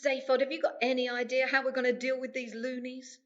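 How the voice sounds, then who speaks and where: A woman's voice: high voice, flat delivery, a little fast, fairly bright tone, highs cut off. A woman playing an exasperated young space traveller with a dry, sarcastic manner in an amateur sci-fi comedy audio drama. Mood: sardonic. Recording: distant mic, faint music behind.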